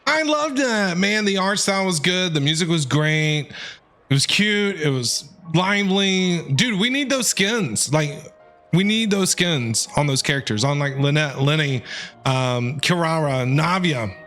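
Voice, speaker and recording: A man's voice: a little high, lively intonation, a little slow, bright, forceful tone. A man doing casual, enthusiastic live game commentary as a streamer, repeating his exclamations. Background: game music.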